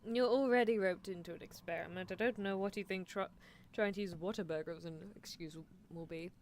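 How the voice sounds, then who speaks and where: A woman's voice: on the low side, brisk, lightly acted. Woman playing a teasing British mad scientist girl, noisy background. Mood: coaxing, teasing. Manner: teasing, softly coaxing, clinical asides. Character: playful, bossy British female mad scientist horse-girl. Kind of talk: ASMR roleplay livestream in character.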